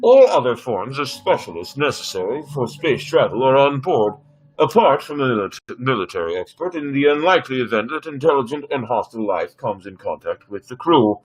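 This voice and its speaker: A man voicing a servile, precise ship's computer in a sci-fi story reading, with measured technical delivery. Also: medium pitch, big pitch swings, husky, low-quality recording. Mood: neutral, informative. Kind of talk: dramatic reading of sci-fi script.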